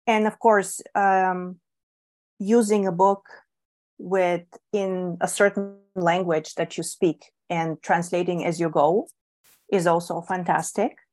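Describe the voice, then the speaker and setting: A woman from Bulgaria, whose first language is Bulgarian, speaks as a podcast guest. Her voice sits fairly low and her pace is very unhurried. She thinks aloud, with frequent ums and self-corrections. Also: fairly even intonation, a little mellow, faint music behind. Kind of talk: relaxed podcast interview.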